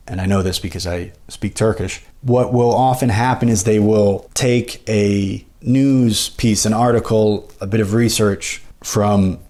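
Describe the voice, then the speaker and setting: An American man hosting a podcast, giving a measured, analytical literary commentary in an explanatory way. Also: fairly low pitch, darkish tone. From United States. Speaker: podcast host.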